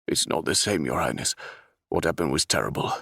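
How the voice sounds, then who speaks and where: A man voicing a gruff, wary older ship captain who growls his words. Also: a bass voice, animated, a little fast, a bit bright, dry recording, studio quality, heavily acted.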